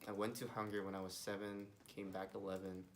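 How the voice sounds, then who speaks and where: A man's voice: on the low side, completely flat delivery, fast, slight background noise. A man, answering interview questions in an earnest, plain way. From Korea. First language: Korean. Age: 20s.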